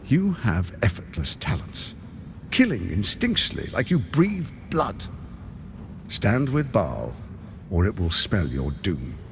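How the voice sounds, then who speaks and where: An actor playing a suave, scheming tyrant lord in a video game, speaking in a silky, pompous, measured and persuasive way. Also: male voice, lowish voice, wildly swooping pitch, vocal fry, a little hiss or hum, full character voice. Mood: coaxing, ominous. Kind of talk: theatrical video game cutscene acting.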